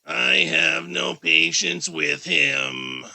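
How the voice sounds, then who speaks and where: A man voicing a disapproving, impatient young Victorian wife in a drawn-out, scolding manner for a storybook. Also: a little high, vocal fry, bright, forceful tone, dry recording, full character voice.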